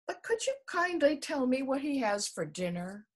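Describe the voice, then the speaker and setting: A woman's voice: high-pitched, very animated, moderate pace, voice pushed up. A woman voicing a young male elephant child in a storybook, very polite, earnest and curious.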